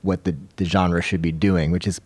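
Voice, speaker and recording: A man's voice: sits low, little pitch movement, normal speed, darkish tone, husky, dry recording. A man co-hosting a podcast, asking questions and discussing things casually.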